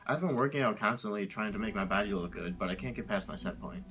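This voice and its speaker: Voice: deep voice, expressive, quick pace, a bit bright, soft background music. An amateur performer playing a vain, defensive, self-obsessed monster in an audio fairytale parody.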